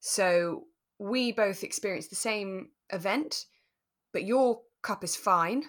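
A woman co-hosting a podcast, explaining things step by step in a relaxed, conversational way. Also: medium pitch, slow pace. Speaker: podcast co-host.

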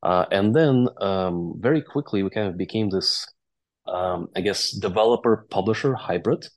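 Low-voiced man. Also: moderate pace. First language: Russian or Latvian. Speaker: interview guest, game company founder and CEO. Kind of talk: conversational interview storytelling.

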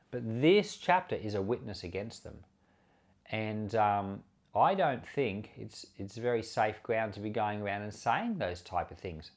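A man's voice: medium pitch, darkish tone. A man giving an informal sermon commentary on scripture as a pastor, earnest and conversational, leaning hard on key words. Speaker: pastor and Bible teacher.

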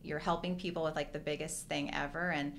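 A woman's voice: low-pitched, steady tone, brisk, vocal fry, slight background noise. A middle-aged woman from Hawaii, a real estate agent chatting earnestly as a podcast guest, filling her talk with "like" and "you know". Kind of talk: casual interview chat.

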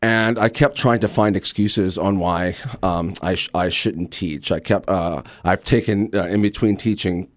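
Low-voiced, effusive middle-aged man, close dry sound. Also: unhurried, few pauses, no room sound. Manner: effusive, emphatic repetition. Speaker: guest, actor and theater teacher. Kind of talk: interview conversation.

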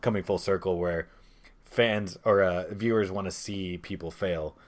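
A man with a low-pitched voice hosts a casual podcast chat about films. He talks informally with friends, conversational and loose, with the odd mild swear. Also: fairly even intonation, moderate pace. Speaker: podcast host. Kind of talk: casual film discussion among friends.

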